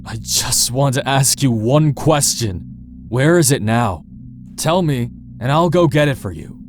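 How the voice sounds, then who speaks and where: A man's voice: medium pitch, lively intonation, unhurried, lightly acted. An actor playing a proud, guarded male smuggler in a visual novel game, speaking tersely and with defiance. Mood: resentful. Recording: music behind the voice. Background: game music.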